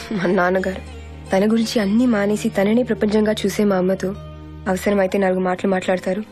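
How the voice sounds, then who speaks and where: An actress playing an earnest, guarded young woman in a film drama, with a sincere, measured delivery. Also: medium pitch, a little mellow, close to an everyday voice. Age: young adult. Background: background music.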